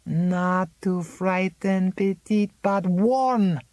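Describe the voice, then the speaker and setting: A woman reading aloud, voicing a gnarled old woman servant who speaks broken English with French words in a slow, sing-song, ominous way. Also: mid-range voice, darkish tone, no echo.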